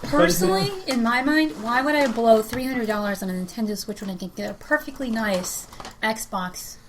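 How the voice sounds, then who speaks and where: A woman with a slightly high voice speaks as a podcast guest. She is blunt and skeptical, arguing casually. The room has faint background noise. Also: moderate pace. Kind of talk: casual opinionated discussion.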